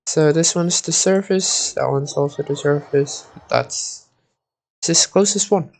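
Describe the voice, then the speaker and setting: Slow-paced gamer thinking aloud. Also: medium pitch, gravelly. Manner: sweary, mutters to self. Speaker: video game streamer. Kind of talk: casual gameplay commentary, thinking aloud.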